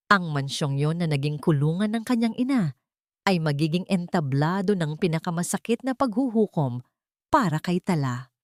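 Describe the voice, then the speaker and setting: A woman narrating a dramatic audio story in an emotive, expressive way. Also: on the low side, no echo, studio quality.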